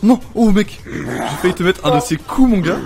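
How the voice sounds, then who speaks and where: Excitable young man trolling in game chat. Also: high-pitched, normal speed, gravelly. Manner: loud, joking, excitable. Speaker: gamer trolling on a roleplay server. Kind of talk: casual gaming chat. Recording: game voice chat over loud music.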